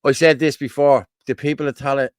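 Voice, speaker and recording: A man's voice: mid-range voice, little pitch movement, fairly quick, smooth, no echo, studio quality. An Irish man co-hosting a casual livestream, rambling and repeating himself as he chats and reads out viewer comments. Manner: rambling, repeats "you know what I mean". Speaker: co-host.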